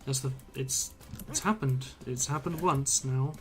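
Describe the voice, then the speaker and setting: A man's voice: medium pitch, unhurried, fairly bright tone. A man streaming a video game, chatting casually and rambling with many fillers and trailing-off sentences, his voice a little strained from talking so long. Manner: hesitant, filler-heavy, trails off mid-sentence. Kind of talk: casual rambling chat while gaming. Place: home room at a computer.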